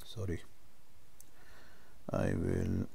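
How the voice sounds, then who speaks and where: Gravelly-voiced man giving a software tutorial. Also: deep voice, monotone, noisy background. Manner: halting, with frequent check-in tags. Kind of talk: informal screen-share tutorial, explaining step by step.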